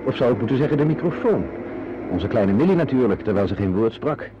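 A man's voice: mid-range voice, expressive, fairly quick, lightly acted. A man playing a courteous, wry, articulate driver in a radio drama. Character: courteous man driving his cleaning lady and pets. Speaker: radio play actor and narrator. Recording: muffled radio play with background noise.

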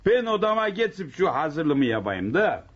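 Pious old uncle, swooping intonation. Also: male voice, on the high side, big pitch swings, a little slow, husky, heavily acted. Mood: self-satisfied. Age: older. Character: pious, self-assured old uncle arranging a marriage. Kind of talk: radio theatre acting. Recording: noisy, dull lo-fi radio recording.

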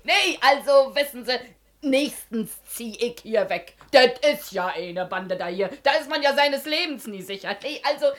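A woman's voice: on the high side, lively intonation, normal speed, fairly bright tone. A woman playing a shrewd, earthy working-class mother with a Berlin accent in a radio play, talking in a garrulous, exclamatory, colloquial way as she feigns innocence. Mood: indignant. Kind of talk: naturalistic German radio play acting.

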